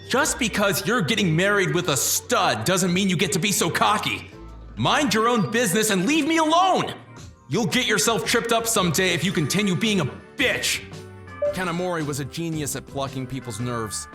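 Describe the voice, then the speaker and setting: Animated young office guy, higher-pitched, acted. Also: normal speed, exaggerated voice. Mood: indignant. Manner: earnest, exasperated when provoked. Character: ordinary young male office worker, loyal, easily riled boyfriend. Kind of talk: dubbed manga narration and dialogue. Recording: voice over background music.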